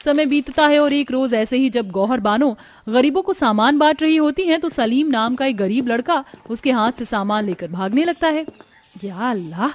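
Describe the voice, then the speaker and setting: Slightly high-voiced woman story narrator. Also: normal speed, few pauses, no echo.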